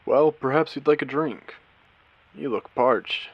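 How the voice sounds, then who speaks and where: A man playing a courteous, eerie AI who hosts an abandoned post-apocalyptic casino in an audio drama, speaking theatrically with deliberate pauses. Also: lo-fi sound. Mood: suave, welcoming. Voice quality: low, creaky voice. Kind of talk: scripted character audio drama monologue.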